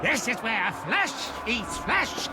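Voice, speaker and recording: An actor playing a sadistic male fight-pit ringmaster in a video game, with a theatrical, drawn-out showman delivery. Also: extremely high pitch, very animated, heavily acted. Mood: gloating showman. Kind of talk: video game cutscene acting. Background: game score music.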